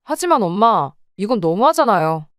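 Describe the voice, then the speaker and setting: Devoted adult daughter, played by a woman, earnest and protective, smooth and clear tone, dry close mic. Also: mid-range voice, sing-song, moderate pace, no room sound, natural voice. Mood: earnest, worried. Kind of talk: audio drama acting.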